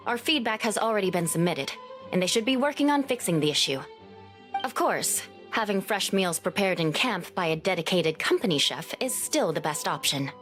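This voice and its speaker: An actress playing a proud, dutiful young female knight captain in a video game, speaking in a measured, formal and articulate way. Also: mid-range voice, a bit bright, close to an everyday voice. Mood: polite, wry. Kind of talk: scripted game dialogue. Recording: music behind the voice. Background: game music.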